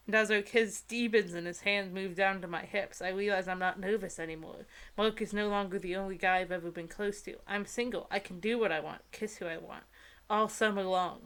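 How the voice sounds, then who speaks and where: A young woman with a bright, forward voice at an average pitch for a woman. She reads book excerpts aloud casually and comedically, dropping in incredulous, giggly commentary as she goes. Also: steady tone, normal speed.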